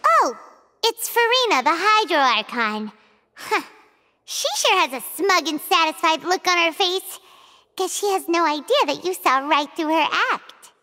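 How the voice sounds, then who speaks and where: A woman voicing a tiny, excitable, childlike fairy companion in a video game, chatty and blunt, exclaiming and speaking of herself in the third person. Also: very high-pitched, a little slow, in a large hall, full character voice. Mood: curious. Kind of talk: video game character acting.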